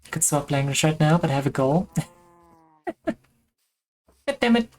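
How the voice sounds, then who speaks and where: A woman's voice: sits low, expressive, slow, darkish tone. A woman from Germany with a German accent, chatting casually and rambling with self-mocking humor while she streams a game. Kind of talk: casual gaming chat.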